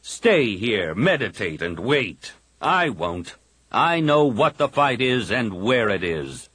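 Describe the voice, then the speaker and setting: A man's voice: lowish voice, very animated, slow pace, slightly rough, dry recording, voice pushed up, heavily acted. Cocky young Jedi hothead, read by a man, raised voice, dry close sound. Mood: resolute. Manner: insistent, forceful. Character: brash young male Jedi pilot, defiant.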